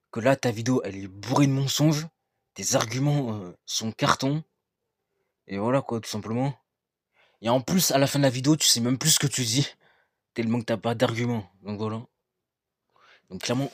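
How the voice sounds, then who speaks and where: A man's voice: medium pitch, dry recording, clean studio sound. A man hosting a reaction video, chatting casually, lecturing his viewers and leaning on fillers.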